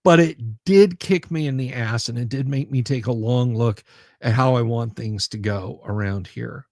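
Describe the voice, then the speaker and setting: A man's voice: mid-range voice, moderate pace, darkish tone, dry recording, clean studio sound. A man hosting a casual livestream, rambling, repeating his words and making sarcastic asides while reading viewer comments. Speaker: livestream host.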